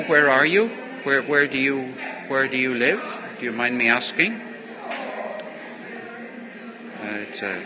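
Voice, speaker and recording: A man chatting casually to viewers on a livestream as a poetry host, rambling and full of thanks, speaking into a handheld earbud mic. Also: mid-range voice. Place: busy café. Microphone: handheld earbud microphone. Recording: live phone stream in busy café. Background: chatter of other people.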